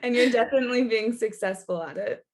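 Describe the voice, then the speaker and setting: A woman's voice: a little high, fast talker, vocal fry. A woman hosting an interview show, asking questions in a polite, encouraging way. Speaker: talk show host.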